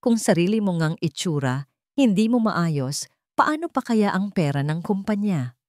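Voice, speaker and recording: A woman's voice: lowish voice, very animated, normal speed, darkish tone, dry recording. A haughty middle-aged male HR director, acted out by a woman storyteller with a Filipino accent. He talks down to people with mocking disdain and cold cruelty. The sound is dry and close, like an audio story. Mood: cold contempt.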